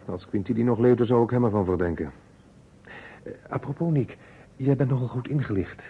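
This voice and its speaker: A cool, understated gentleman played by a man in a radio detective play. He speaks drily with a creaky edge to his voice. The old recording is muffled, with faint noise behind him. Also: mid-range voice, expressive, natural voice. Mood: cool, dry. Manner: measured, dry, understated. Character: reserved, cool, part-British gentleman. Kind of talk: radio detective drama acting.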